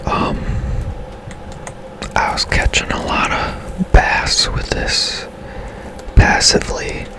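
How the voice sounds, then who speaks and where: A man speaking in a breathy, unvoiced whisper, giving casual, unhurried ASMR commentary as he plays a game. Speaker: gaming ASMR host.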